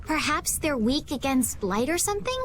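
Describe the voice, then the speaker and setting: A woman voicing a gentle, bookish young noblewoman in a video game, speaking earnestly and formally. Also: high voice, big pitch swings, normal speed, slight background noise. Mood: earnest, thoughtful.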